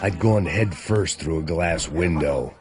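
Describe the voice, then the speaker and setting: An actor playing a cynical, middle-aged American ex-cop bodyguard in a noir video game, deadpan and terse. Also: male voice, sits low, unhurried. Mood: sardonic, brooding. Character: world-weary, hard-drinking ex-cop turned bodyguard, brooding and sardonic. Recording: loud music under the voice.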